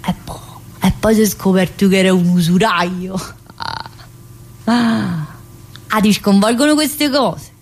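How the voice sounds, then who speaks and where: An actress plays a woman shut away in a psychiatric prison, talkative and troubled. Her Neapolitan voice is husky and mid-pitched. She confides in a loose, colloquial ramble broken by abrupt pauses and little interjections, as in an intimate radio theatre monologue. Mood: confiding, earnest.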